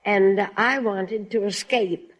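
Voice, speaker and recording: A woman's voice: mid-range voice, fairly even intonation, slow pace. A woman from Massachusetts with an American accent, answering a quiz host politely and formally as a contestant. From Massachusetts, United States. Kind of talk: unscripted interview answers.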